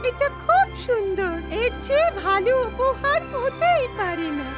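A woman's voice: very high-pitched, big pitch swings, measured pace, over loud music, exaggerated voice. A woman voicing a kindly, affectionate, doting grandmother in a children's cartoon. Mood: touched, loving.

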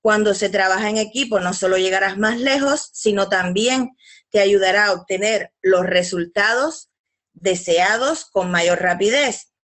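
Woman, webinar presenter reading slides, halting delivery with filler words, mid-pitched, video-call mic. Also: a bit bright. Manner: hesitant, fills pauses with "pues" and restarts.

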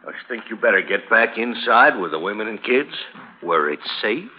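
An actor playing a cocky, mean cowhand bully with a jeering, drawn-out delivery in old radio drama style. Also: male voice, fairly low pitch, expressive, close to an everyday voice. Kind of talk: 1950s radio acting. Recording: muffled, noisy old radio recording.

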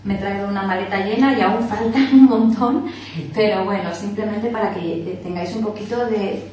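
A woman's voice: mid-range voice, fairly even intonation, normal speed, mellow. A woman, explaining to a small workshop group and checking in often to make sure everyone follows. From Barcelona area, Spain. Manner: explanatory, checks in often with "¿vale?", demonstrating with hands. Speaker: workshop instructor. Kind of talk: informal teaching talk to a small group. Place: small indoor meeting room. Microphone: far camera. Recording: echoey room from far off, faint hiss.

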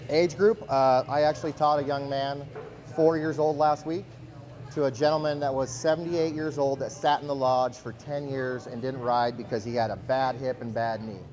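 A middle-aged man coaching a beginner outdoors on a snowy slope, relaxed and reassuring. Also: medium pitch, normal speed, soft background music. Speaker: ski bike instructor. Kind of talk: on-slope instruction.

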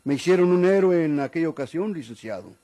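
Actor playing a mellow-voiced, proud aging policeman. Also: a little high, lively intonation, fast talker, close to an everyday voice. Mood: wounded pride. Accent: Mexican. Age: older. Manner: deferential yet insistent, formal. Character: proud aging retired policeman, stubborn and aggrieved. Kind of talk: Mexican golden-age radio drama acting.

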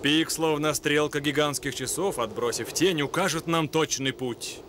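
An actor playing a courteous, unflappable lion explorer in a cartoon, speaking in a measured, formal way. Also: male voice, on the high side, steady tone, noisy background, close to an everyday voice. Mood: calm, instructive. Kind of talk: cartoon dub. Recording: music behind the voice.

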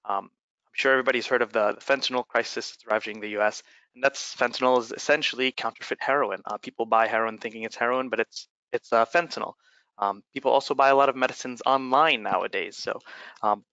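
A man's voice: mid-range voice, pushed and bright, no echo, highs cut off. A man from Egypt, a startup founder, giving an earnest webinar presentation with many fillers.

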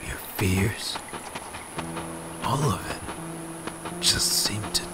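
An actor playing a brooding, world-weary man in a breathy, half-whispered dramatic monologue. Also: fairly low pitch, extremely slow, very noisy. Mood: brooding, wistful. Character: brooding, world-weary lone male narrator.

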